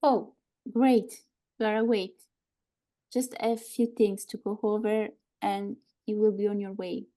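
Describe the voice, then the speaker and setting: An actress playing a smug government agent posing as a nurse, cajoling with deliberate, condescending, mock-soothing calm in a screenplay read. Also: female voice, medium pitch, close to an everyday voice. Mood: breezy, mock-soothing.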